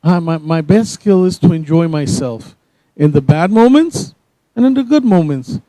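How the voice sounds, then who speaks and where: A man from Panama opens a workshop in a mellow, slightly raised voice. He talks in halting phrases, and the recording is dry and close. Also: a little high, animated, no echo, low-quality recording. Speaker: workshop facilitator. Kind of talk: opening a workshop session.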